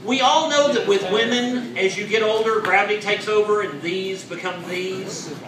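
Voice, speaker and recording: A man in his forties delivers a stand-up set in a fairly high voice, landing deadpan, self-mocking punchlines. He sounds a little off the mic, in an echoey club with a crowd laughing. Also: normal speed, far from the mic. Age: 40s. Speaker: stand-up comedian. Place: comedy club stage with live audience.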